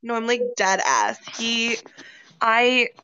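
A woman in her late twenties joining as co-host, playful and quick to get defensive, swearing a lot. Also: a little high, lively intonation, pushed and bright, smooth, highs cut off. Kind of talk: casual chat.

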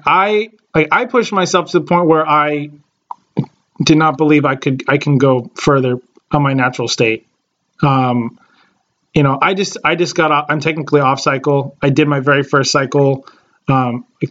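A man in his late twenties co-hosting a podcast, his voice sitting a little high. He rambles through casual chat full of fillers and restarts. The sound is slightly dull and lo-fi. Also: steady tone, moderate pace, a bit bright. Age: late 20s. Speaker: podcast co-host.

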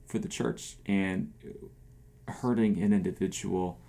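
A man co-hosting a casual church discussion, talking in a rambling way with lots of "like" and "you know" and trailing off softly. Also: low voice, steady tone, takes it slowly, vocal fry. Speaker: podcast co-host.

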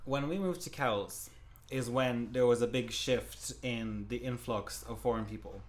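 Young adult, casual chat, animated, rambling, filler words, mid-pitched voice. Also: a little slow. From Russian-speaking family in Europe. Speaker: podcast co-host, younger sibling.

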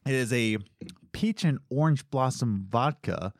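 A man's voice: mid-range voice, lively intonation, unhurried, no echo. A man co-hosting a casual podcast, congested from a cold, joking and sarcastic. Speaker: podcast co-host. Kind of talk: casual chat. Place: North Dakota.

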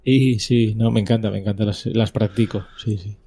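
A man's voice: on the low side, normal speed, husky. Casting: man from Spain, podcast guest, easygoing chat, lots of hesitations. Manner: relaxed, hesitant, many fillers.

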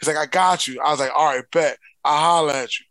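A man from Philadelphia with a Philly accent, co-hosting a casual podcast and telling stories with big, loud laughs. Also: a little high, quick pace, dry recording. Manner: animated storyteller, laughs loudly at his own stories. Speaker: co-host.

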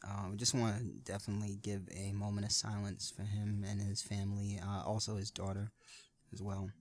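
A man co-hosting a podcast, speaking casually and reflectively in halting, measured short phrases. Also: on the low side, monotone. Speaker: podcast co-host.

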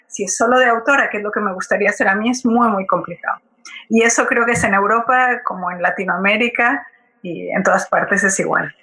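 A woman's voice: on the high side, bright, forceful tone, poor audio. A woman from Colombia speaking as an interview guest, reflective and hesitant, often checking for agreement as she answers. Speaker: guest playwright.

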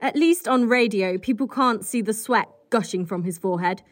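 A woman's voice: medium pitch, moderate pace, dry recording, natural voice. A woman playing an acid-tongued, impatient political staffer in a comedy drama. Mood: exasperated, sarcastic. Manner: cutting, sarcastic, impatient. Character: acid-tongued, foul-mouthed female political staffer, scornful. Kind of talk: fast comedy audio drama dialogue.

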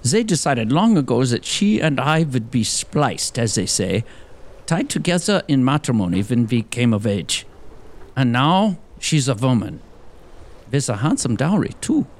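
A man playing a fussy, excitable young lawyer in a comic audio drama, with a fretful, theatrical delivery. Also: medium pitch, a little slow. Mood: comic, self-important. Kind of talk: gothic comedy audio drama dialogue.

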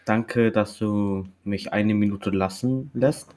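Halting young man from Japan. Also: lowish voice, darkish tone, a little hiss or hum. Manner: halting, searching for words. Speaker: podcast guest. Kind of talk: casual podcast chat.

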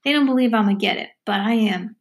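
A woman's voice: fairly high pitch, fast talker, natural voice. A woman voicing a ragged, talkative, hungry runaway girl with blunt rustic speech in a story reading. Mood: wistful, determined.

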